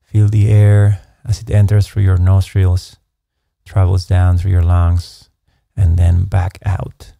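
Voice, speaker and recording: A man guides a meditation in a low, very dark and mellow voice. His delivery is calm and measured, staying even in pitch from phrase to phrase, with soft instructions given one step at a time. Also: creaky, dry recording, studio quality.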